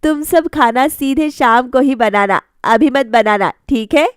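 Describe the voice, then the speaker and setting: A woman plays an older cartoon mother-in-law, plain-spoken yet approving. The voice is fairly high and takes its time, recorded dry. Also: a little slow, clean tone, no room sound. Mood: content, noncommittal.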